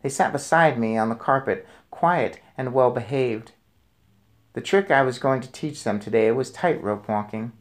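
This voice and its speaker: A man narrating a children's storybook aloud in an expressive read-aloud style. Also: medium pitch.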